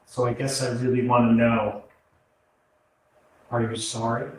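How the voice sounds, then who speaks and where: Guy playing a victim pressing a killer for remorse, slow searching stage delivery, far from the mic. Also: mid-range voice, flat delivery, close to an everyday voice. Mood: pleading, searching. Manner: searching, hesitant, repeats phrases. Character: probing male questioner, a killer's victim demanding remorse. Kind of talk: staged play reading, dramatic dialogue. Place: arts center stage, indoors, recorded from afar. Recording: very echoey hall, distant mic, background noise.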